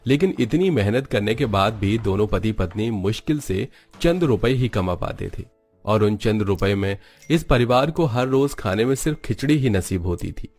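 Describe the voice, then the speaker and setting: A man's voice: on the low side, darkish tone. A man narrating a Hindi cartoon story in a scripted storytelling style.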